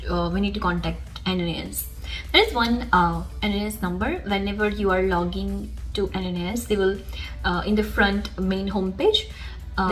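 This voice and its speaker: A woman's voice: mid-range voice, low-quality recording. A young woman whose first language is Gujarati talks casually through an online application, explaining each step as she clicks. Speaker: tutorial host. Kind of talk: screen-recorded walkthrough.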